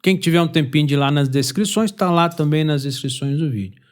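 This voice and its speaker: Male Brazilian podcast host, high voice, lively and chatty. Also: brisk. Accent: Brazilian Portuguese. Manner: chatty, animated, laughs easily. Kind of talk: casual chat.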